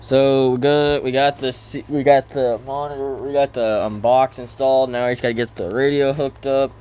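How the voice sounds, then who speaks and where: A man giving casual role-play gameplay commentary in a halting way, thinking aloud as he hosts. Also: medium pitch, level tone, a little mellow, smooth. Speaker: gaming video host.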